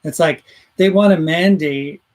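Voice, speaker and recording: A man's voice: on the high side, a little slow. A man hosting a talk radio show in casual chat, rambling through his points and reading listener comments aloud. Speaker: host.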